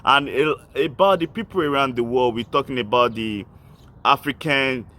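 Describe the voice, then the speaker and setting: A man's voice: mid-range voice, normal speed, pushed and bright, a little hiss or hum. A man, hosting an online channel, speaking earnestly and directly to his listeners. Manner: earnest, appealing directly to listeners, repetitive listing. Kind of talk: impassioned speech to camera.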